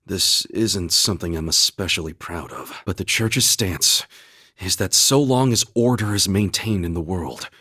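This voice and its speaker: A steadfast young warrior-knight from a video game. His voice sits low with a breathy, husky grain. He speaks with solemn, measured formality and earnest devotion. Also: pushed and bright, dry recording, clean studio sound, heavily acted. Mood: grave, explanatory. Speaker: video game character voice actor. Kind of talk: dramatic English video game voice acting.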